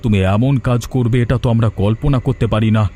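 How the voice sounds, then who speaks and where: A man's voice: medium pitch, steady tone, fast, warm tone, faint music behind, natural voice. A man playing a dutiful grown son in a cartoon, earnest and reasoning. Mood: protesting. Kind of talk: Bengali cartoon voice acting.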